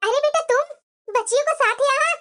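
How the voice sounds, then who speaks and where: A very high, sharp voice plays as a woman acts it a chatty elderly auntie. She is friendly and coaxing, a grandmother figure in a family cartoon. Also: a little fast. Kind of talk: Hindi family moral cartoon acting.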